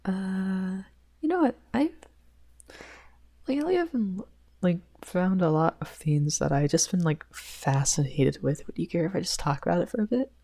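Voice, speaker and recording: A woman's voice: fairly low pitch, lively intonation, normal speed, creaky, warm tone. A woman playing a shy young male best friend in an ASMR roleplay, rambling hesitantly with stammers and nervous giggles. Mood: shy excitement.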